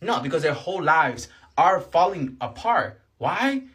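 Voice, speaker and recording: A man's voice: a little high, big pitch swings, measured pace, a bit bright. A man from Colombia giving indignant, emphatic commentary in a reaction video. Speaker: online commentator.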